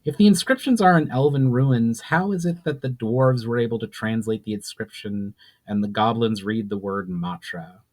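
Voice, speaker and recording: A man's voice: medium pitch, moderate pace, darkish tone, close to an everyday voice. A man playing a curious, forthright male elf hero in a dramatic fantasy audiobook, speaking with emphatic, questioning delivery. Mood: curious, insistent. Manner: emphatic, inquisitive.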